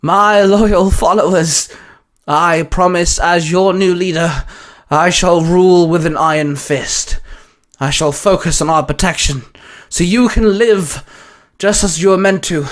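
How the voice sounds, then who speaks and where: A man voicing a power-mad doctor tyrant with theatrical, drawn-out proclamations in a fan fiction story. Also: high voice, pitched above the performer's own voice. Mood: grandiose command.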